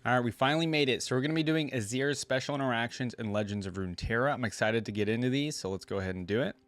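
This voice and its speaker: A man hosting a reaction video, casual and informal, reading aloud and thinking out loud with asides. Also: mid-range voice, very fast, no echo.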